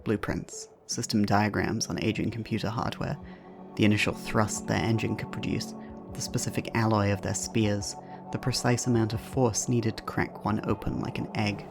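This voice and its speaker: A man's voice: on the low side, steady tone, normal speed, creaky, music in the background. A man reading scripted narration for an audio drama as its narrator.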